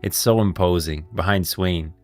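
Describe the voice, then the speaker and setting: A man's voice: low-pitched, moderate pace, a little mellow, soft background music. A man hosting a livestream, musing informally about game lore and chatting with viewers. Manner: informal, musing, talks to chat. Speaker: streamer host.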